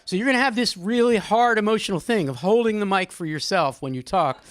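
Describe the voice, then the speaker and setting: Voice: mid-range voice, a little fast. An informal host with a mid-range voice leads a group at a live event. The delivery is conversational and teasing, addressing people directly. The sound is close and dry, with little room reverberation. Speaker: host leading a group poem discussion. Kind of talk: informal live moderating, with some poetry reading. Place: indoor room at a live gathering.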